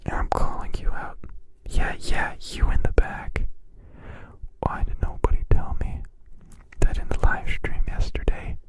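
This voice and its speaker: Playful whispering man, Polish native speaker. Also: unhurried. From Canada. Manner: whispering throughout; playful, repeats words softly for effect. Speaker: ASMR live streamer. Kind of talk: whispered live-stream chat.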